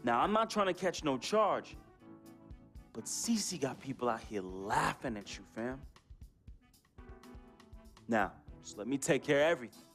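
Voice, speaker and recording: Slick american hustler buddy, slangy streetwise talk, normal pitch, bit of music behind, game cutscene. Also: male voice, expressive, unhurried, long pauses, a bit bright. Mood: persuasive, cocky. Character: slick, slangy hustler friend of a basketball star. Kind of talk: scripted film-style game drama acting.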